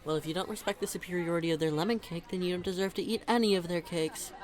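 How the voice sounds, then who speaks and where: A young man plays a best friend in an intimate audio roleplay, teasing and talkative. His voice sits on the high side for a man. The delivery is conversational and animated, with casual, emphatic phrasing. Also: fast, close to an everyday voice. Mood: playful teasing. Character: teasing, chatty best friend with a hidden crush. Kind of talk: conversational scripted roleplay monologue.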